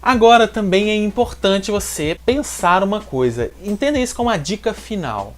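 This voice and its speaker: Lively Mineiro man giving tips. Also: sits high. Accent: Mineiro Brazilian Portuguese. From Minas Gerais, Brazil. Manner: energetic, talks straight to the viewer. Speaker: YouTuber giving language-learning tips. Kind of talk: direct-to-camera advice vlog.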